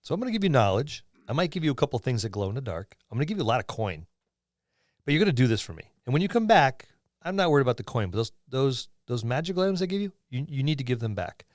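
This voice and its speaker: A man voicing an imposing dragon patron who bargains and quietly threatens in a tabletop game discussion. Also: mid-range voice, sing-song, fast talker, dry recording, clean studio sound, close to an everyday voice. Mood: stern bargaining.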